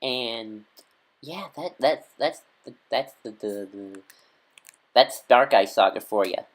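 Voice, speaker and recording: A man's voice: mid-range voice, expressive, slow. Rambling enthusiastic male fan. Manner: enthusiastic, rambling with many fillers and restarts. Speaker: fan reviewer. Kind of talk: casual fan talk to camera.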